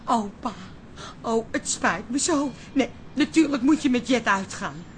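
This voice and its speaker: An actress playing a wounded, sarcastic but sentimental grown daughter in a radio play. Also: female voice, fairly high pitch, expressive, unhurried, a little hiss or hum. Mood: tearful, relenting.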